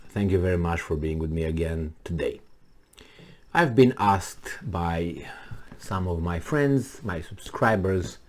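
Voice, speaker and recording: Low-voiced man, halting. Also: lively intonation, darkish tone. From Romania. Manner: halting, many fillers and restarts. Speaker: vlogger. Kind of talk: casual monologue to camera.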